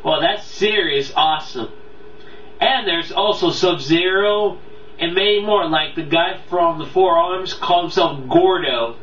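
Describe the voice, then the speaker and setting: A man hosting a casual countdown review, talking off the cuff in a rambling, halting way that often loses its thread. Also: on the high side, fairly bright tone. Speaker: video countdown host and reviewer. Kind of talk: casual unscripted review talk to viewers. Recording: roomy, distant, dull mic, faint noise.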